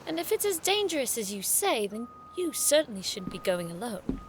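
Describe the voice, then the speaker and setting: An actress playing a sharp-witted, teasing young noblewoman student in an audio drama, with an arch, dry delivery. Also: fairly high pitch, sing-song, normal speed, background noise. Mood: wry, insistent.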